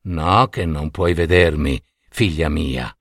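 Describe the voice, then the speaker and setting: A man voicing a gentle, loving father who speaks softly and reassuringly out of a dream. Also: rumbling low, slow, no echo, studio quality, pitched below the performer's own voice, exaggerated voice. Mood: tender.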